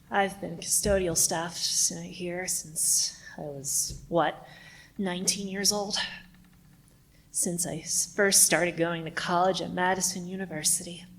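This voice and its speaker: A woman playing a forlorn, overlooked custodian in a live radio play, speaking in a subdued, hesitant way. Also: lowish voice. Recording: faint background noise, live stage recording.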